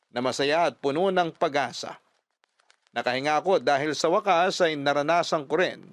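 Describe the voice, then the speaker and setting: A man's voice: a little high, dry recording. A man, a radio drama host, reading a listener's story as dramatic first-person narration.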